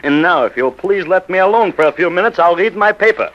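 A wry, exasperated middle-aged immigrant father, pitched a little high for a man. He grumbles and repeats himself in old-country phrasing, in the manner of 1940s radio comedy. The recording is muffled and vintage. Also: lively intonation, quick pace. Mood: weary, impatient. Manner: wry, repetitive, inverted old-world phrasing.

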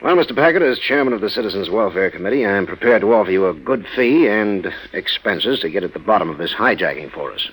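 A man acting a brisk, self-important civic chairman in a 1940s radio drama, with a husky voice and formal, emphatic delivery. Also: on the low side, expressive, fairly bright tone, low-quality recording. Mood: cordial, businesslike.

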